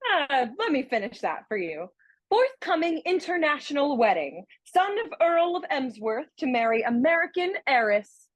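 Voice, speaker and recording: A woman playing a prim, teasing young heiress in a radio play, pert and quick to take offence. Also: high-pitched, sing-song, dry recording.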